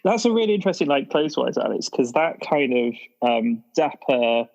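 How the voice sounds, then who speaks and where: A man's voice: medium pitch, very animated, normal speed, gravelly, darkish tone, lo-fi sound. A man riffing casually with friends as a roleplaying player, enthusiastic and playful.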